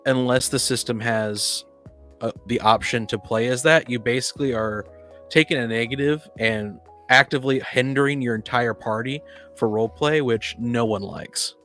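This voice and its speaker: A man's voice: medium pitch, moderate pace, a bit bright, faint music behind. A man in a casual panel discussion about tabletop gaming, speaking in an opinionated, blunt way. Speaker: podcast panelist.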